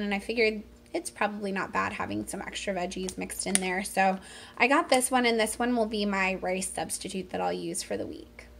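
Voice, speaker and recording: Higher-pitched woman, casual vlogger. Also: fairly bright tone, a little hiss or hum. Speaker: vlogger showing a grocery haul. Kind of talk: casual chatty narration of items.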